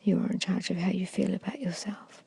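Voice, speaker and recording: Breathy whispering woman, ASMR meditation guide. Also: medium pitch, flat delivery, brisk. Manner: hushed, repetitive, gently instructing. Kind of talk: ASMR hypnosis meditation, soothing suggestions.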